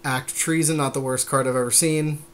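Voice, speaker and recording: A man's voice: medium pitch, steady tone, fairly quick, a bit bright, slight background noise. A man co-hosting a podcast with blunt, informal, casual commentary on a card-game draft. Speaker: podcast co-host.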